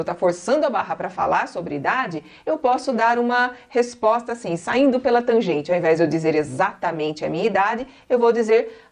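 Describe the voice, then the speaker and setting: Patient Brazilian woman in her forties teaching. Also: medium pitch. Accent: Brazilian Portuguese. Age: 40s. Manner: patient, repeats each example slowly. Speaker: English teacher. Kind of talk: classroom-style explanation.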